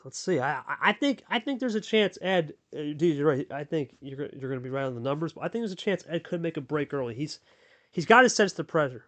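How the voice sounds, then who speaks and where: A man's voice: lively intonation, fast, a bit bright. A man doing casual play-by-play commentary on a card game, chatty and hyped, talking with his co-host. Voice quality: deep radio voice.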